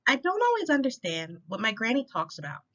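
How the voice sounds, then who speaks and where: A woman reading a children's rhyming picture book aloud in an expressive, clearly paced way, as a storytime reader. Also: fairly high pitch, a little fast. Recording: dull lo-fi sound.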